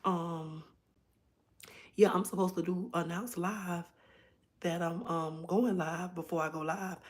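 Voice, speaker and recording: A hoarse woman hosting a casual livestream from home, chatting directly to her viewers. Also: fairly low pitch, fairly even intonation, slow. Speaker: livestream host.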